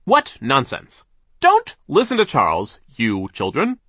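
A man voicing a domineering, stingy mill boss for an audiobook, blustering and pompous, with drawn-out, emphatic words. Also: mid-range voice, wildly swooping pitch, breathy edge, dry recording, clean studio sound, pitched above the performer's own voice, full character voice. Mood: sharp, dismissive. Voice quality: forceful, drawn-out emphatic delivery. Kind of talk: audiobook character voice.

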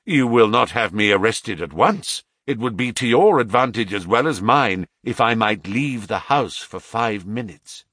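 A man's voice: fairly low pitch, lively intonation, unhurried, hardly any pauses, fairly bright tone, no echo, studio quality. A man voicing a proud young son wrongly accused, defiant, formal and passionate, in an audiobook story. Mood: sullen, urgent.

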